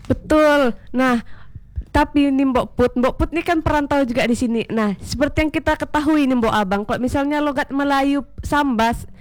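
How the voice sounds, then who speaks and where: A woman's voice: sits high, normal speed. A woman hosting a casual radio chat, talking chattily and speaking straight to her listeners. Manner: chatty, addresses listeners directly. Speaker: radio host.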